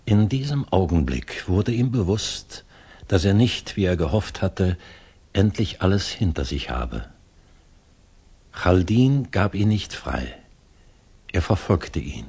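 Very deep, husky, grave gentleman. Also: big pitch swings, heavily acted. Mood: grave, narrating. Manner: measured, formal, grave. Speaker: narrator and character. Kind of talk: 1960s German radio drama narration and acting.

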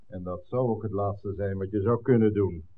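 An actor playing a cold, commanding gang boss in a 1960s radio drama, giving measured threats and curt orders. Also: male voice, lowish voice, fairly even intonation, lightly acted. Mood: angry, scornful. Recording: tinny, band-limited old radio recording.

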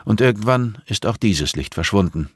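Guy doing a blind middle aged teacher in an audiobook, measured and dryly ironic, close dry sound. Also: fairly low pitch, animated, husky, no echo, voice pushed up. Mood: calm, reflective. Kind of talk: audiobook character dialogue.

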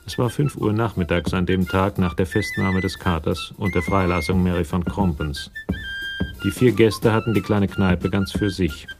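A man narrating a radio crime drama in a measured, matter-of-fact scripted style. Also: deep voice, over music.

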